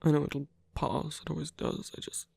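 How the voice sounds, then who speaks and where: A man playing a weary, tender villain laid up in pain, speaking haltingly and softly in an intimate ASMR roleplay. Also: on the high side, flat delivery, no room sound, exaggerated voice. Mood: weary, reassuring. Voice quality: breathy, creaky. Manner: hesitant, halting, soft-spoken.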